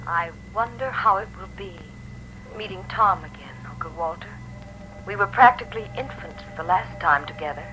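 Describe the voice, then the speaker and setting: An actress voicing a shy, hopeful young woman reading her letter aloud in a 1950s radio drama, with a soft, breathy delivery. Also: medium pitch, normal speed, soft background music, close to an everyday voice, poor audio. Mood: wistful.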